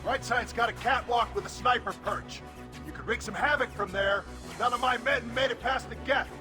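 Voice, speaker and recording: A man's voice: very high voice, brisk. A man playing a defiant alien marine squad leader in a video game, with clipped military talk through a helmet filter. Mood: defiant, grim. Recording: game audio with music behind. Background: music.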